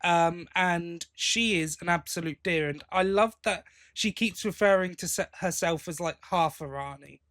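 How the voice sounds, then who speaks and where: Higher-voiced British man chatting on a podcast. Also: moderate pace, hardly any pauses, fairly bright tone. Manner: chatty, rambling, self-interrupting. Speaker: podcast host. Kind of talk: casual chat.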